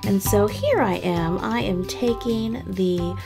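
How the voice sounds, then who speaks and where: Middle-aged woman, family vlog voice-over, upbeat and chatty, slight vocal fry, guitar music bed. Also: medium pitch, takes it slowly. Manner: chatty and upbeat, with frequent laughs. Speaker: family vlogger. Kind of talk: cozy voice-over narration of a cooking and cleaning vlog. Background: guitar background music.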